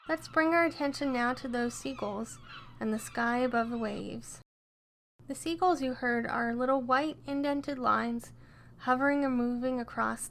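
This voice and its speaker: A woman narrating a scripted guided meditation in a gentle, soothing and encouraging way. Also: a little high, vocal fry.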